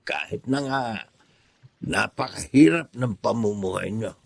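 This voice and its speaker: Slow, gravelly, kindly older man. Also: fairly low pitch, animated, no echo, exaggerated voice. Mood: kindly advising. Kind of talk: radio drama acting.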